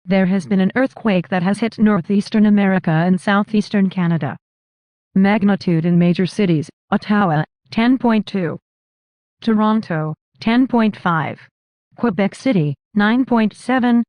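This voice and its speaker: A woman's voice: mid-range voice, unhurried, no echo, highs cut off. Synthetic female emergency announcer, very dry sound. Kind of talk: scripted emergency broadcast announcement.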